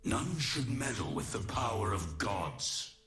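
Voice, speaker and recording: An actor playing a noble, tormented ancient warrior in a video game, speaking solemnly in measured, poetic phrases. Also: male voice, lowish voice, very animated, a bit bright. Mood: solemn, resolute. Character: noble, tormented ancient male warrior resisting dark corruption. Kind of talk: scripted video game character lines.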